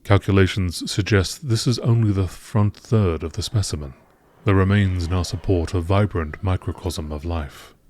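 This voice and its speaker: A man reading scripted text aloud in a measured nature documentary style, as an amateur narrator. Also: low voice, darkish tone.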